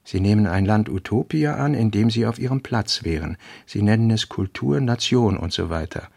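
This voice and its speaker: A man with a husky voice playing a reflective writer, reading aphoristic notes in a literary radio play. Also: on the low side, expressive, normal speed, darkish tone, dry recording.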